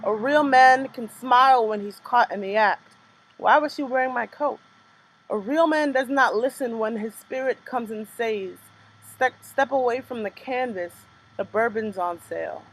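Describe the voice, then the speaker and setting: A young woman performs her own poetry in a rhythmic, measured spoken word style. Her voice sits somewhat high, and between poems she drops into loose, casual remarks. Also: poor audio. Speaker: poet performing her work.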